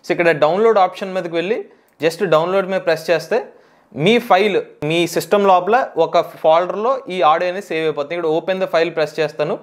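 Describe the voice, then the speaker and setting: A man with a Telugu accent hosting a step-by-step software tutorial, instructional and mixing in English tech terms. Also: high voice, steady tone, fast. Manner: instructional, mixes English tech words into speech.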